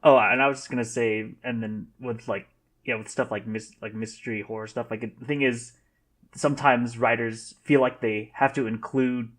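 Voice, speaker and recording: A man's voice: mid-range voice, steady tone, moderate pace, fairly bright tone. A man talking loosely in a casual podcast chat, saying "like" a lot. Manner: loose, heavy use of "like".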